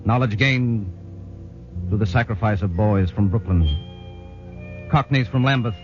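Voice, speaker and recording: Actor as solemn wartime commando on dull old radio. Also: male voice, lowish voice, lightly acted. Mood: solemn tribute. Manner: solemn, dramatic, measured delivery. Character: British commando veteran recounting a daring raid. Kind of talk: 1940s radio drama narration. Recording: dull 1940s radio recording with music.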